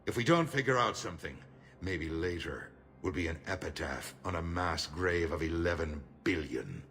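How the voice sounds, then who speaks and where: Shrewd, defiant middle-aged statesman for a sci-fi game; forceful, sharp, oratorical delivery over background ambience. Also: sits low, animated, a little hiss or hum. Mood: grim. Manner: measured, oratorical, emphatic. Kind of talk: cinematic game dialogue.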